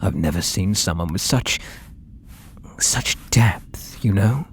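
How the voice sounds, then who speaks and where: A British man playing an obsessive, possessive artist in love, speaking softly and breathily with tender menace in an ASMR roleplay. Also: deep voice, animated, slight background noise, full character voice. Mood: adoring, intimate. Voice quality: soft and breathy, often dropping to whispers. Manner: tender, slow, menacingly intimate.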